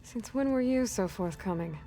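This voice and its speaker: An actress playing a guarded, headstrong young heroine in a video game, talking in a blunt, wry, probing way. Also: female voice, medium pitch, lively intonation, normal speed, mellow, lightly acted. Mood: wary, sarcastic. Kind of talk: video game dialogue acting. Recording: faint music behind the voice.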